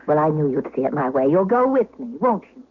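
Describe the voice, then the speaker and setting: An actress playing an imperious, pleading aristocratic wife in an old-time radio drama, formal and theatrical. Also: female voice, deep voice, sing-song, fast talker, breathy edge, no room sound, exaggerated voice. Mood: coaxing, pleading. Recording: thin telephone-like vintage radio broadcast.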